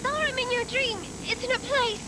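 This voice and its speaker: A woman's voice: very high-pitched, steady tone, normal speed. A woman voicing an earnest, pleading young cyborg girl in a dubbed anime. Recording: noisy old VHS tape recording.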